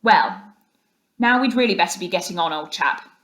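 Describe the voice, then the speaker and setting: Woman playing a hearty, bossy gentleman rat. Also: fairly low pitch, lively intonation, moderate pace. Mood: brisk, pleasant. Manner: hearty, emphatic, old-chap chumminess.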